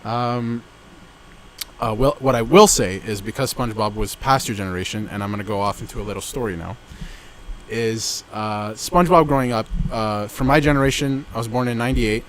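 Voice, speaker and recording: A man's voice: mid-range voice, fairly even intonation, brisk, a bit bright, slight background noise, rough recording. A man in his twenties co-hosting a casual podcast, rambling with many fillers and restarts, recorded outdoors under a backyard gazebo. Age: 20s. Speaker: podcast co-host. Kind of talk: casual chat.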